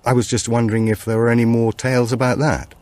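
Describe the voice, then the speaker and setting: A man's voice: mid-range voice, level tone, fast talker, no room sound, voice pushed up, natural voice. A man voicing a subdued grieving husband, polite and hesitant, in a thriller audiobook. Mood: hesitant, curious. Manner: quiet, hesitant.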